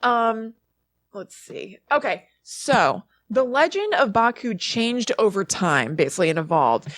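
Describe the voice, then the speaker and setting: A woman's voice: fairly high pitch, very animated, a little slow, a bit bright, dry recording, clean studio sound. A woman co-hosting a podcast, explaining things informally in a chatty, conversational way. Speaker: podcast co-host. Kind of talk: casual chat, informal explaining.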